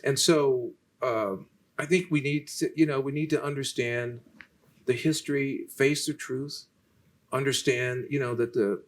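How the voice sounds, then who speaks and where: A soft-spoken man, lecturing and answering questions in a halting way, with many restarts. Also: mid-range voice, steady tone. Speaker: featured lecturer in a Q&A. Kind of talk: unscripted lecture conversation.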